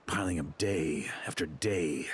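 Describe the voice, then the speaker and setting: Stern, low-voiced knight commander. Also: male voice, husky, full character voice. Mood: reflective. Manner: measured, authoritative. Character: seasoned male knight grandmaster, stern but wry. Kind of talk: scripted game dialogue.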